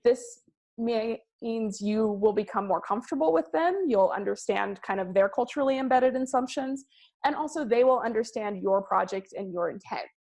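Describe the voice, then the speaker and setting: A woman giving a presentation on research methods, speaking in a measured way with frequent ums and slowing down to stress key words. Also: fairly high pitch, darkish tone. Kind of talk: presentation to a class.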